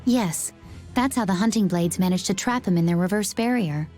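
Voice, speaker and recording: A woman playing a poised, cool-headed young female warrior in an English game dub, speaking in a measured, composed way. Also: mid-range voice, a little mellow, faint music behind, lightly acted. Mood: composed, serious.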